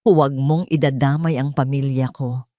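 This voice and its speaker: An emotional wife from a radio-style love drama, acted by a woman narrator. Her voice is dark and soft, wounded yet proud. She sounds desperate to shield her family. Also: rumbling low, steady tone, normal speed, no room sound, studio quality, voice pushed down. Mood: shaken defiance. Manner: emotional, trembling defiance.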